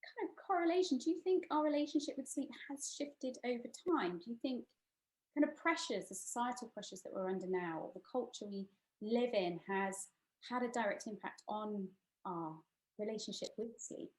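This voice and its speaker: A woman's voice: a little high, normal speed, darkish tone. A woman hosting an interview, asking curious, affirming questions. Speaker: podcast host. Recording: roomy echo.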